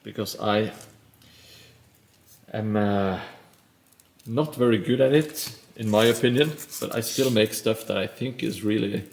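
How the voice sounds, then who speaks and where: A man with a Norwegian accent, a native Norwegian speaker, talks to his stream as he works. His voice sits fairly low and has a gravelly fry, and he takes his time, rambling and mocking himself between pauses. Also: darkish tone. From Norway. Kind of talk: casual live-stream chat while building.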